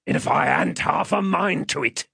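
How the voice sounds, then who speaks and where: A man voicing a menacing, rough convict in a storybook, with threatening, coarse rustic speech. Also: medium pitch, very animated, measured pace, fairly bright tone, no echo, clean studio sound, voice pushed up, exaggerated voice. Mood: gloating menace.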